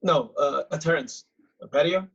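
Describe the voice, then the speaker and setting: A man playing a sweet, awkward, stammering young man with a husky voice in a comedic script read. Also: fairly high pitch, moderate pace, fairly bright tone, full character voice. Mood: nervous. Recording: dull lo-fi video-call audio.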